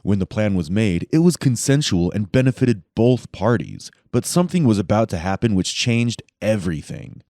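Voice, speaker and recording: A man giving scripted, measured true-crime narration on a close studio mic. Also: fairly low pitch, big pitch swings, mellow, no room sound. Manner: measured, dramatic storytelling. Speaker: documentary narrator.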